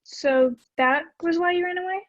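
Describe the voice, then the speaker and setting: Phone-quality audio, high voiced actress playing a blunt young woman asking pointed questions, stage play. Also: normal speed, fairly bright tone. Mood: skeptical. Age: young adult. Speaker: student actor in a school play. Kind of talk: one-act play acting. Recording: tinny phone-like line.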